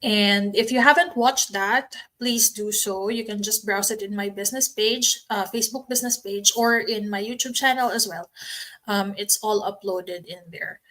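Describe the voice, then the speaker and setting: A woman in her late thirties with a Filipino accent, talking casually to camera about her online business, unhurried, with many ums and restarts. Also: medium pitch, fairly bright tone, poor audio. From Philippines. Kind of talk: casual promotional talk to camera.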